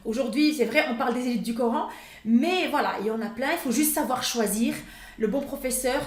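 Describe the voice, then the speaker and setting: A woman's voice: fairly high pitch, a little fast. A woman who teaches the Quran, with Arabic as her first language, talking in an interview, hesitant with many fillers and restarts. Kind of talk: casual interview talk. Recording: echoey room.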